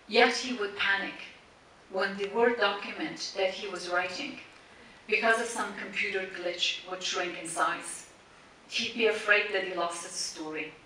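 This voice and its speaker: A woman whose first language is Persian gives a measured, prepared memorial speech from a cinema stage, pausing deliberately between listed words. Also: medium pitch, pushed and bright. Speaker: speaker introducing a tribute screening. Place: large cinema auditorium, standing on stage. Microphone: stand mic, recorded by a distant camera in the audience. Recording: echoey cinema from far off with faint hiss.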